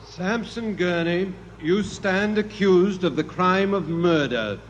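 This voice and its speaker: An actor in a 1950s radio drama playing a stern courtroom judge, formal and declamatory. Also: male voice, high voice, slow. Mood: stern, solemn. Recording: noisy, dull old radio recording.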